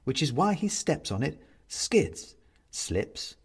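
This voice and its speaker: A man narrating a literary story in an expressive, wry manner with deliberate phrasing. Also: fairly high pitch, slightly rough.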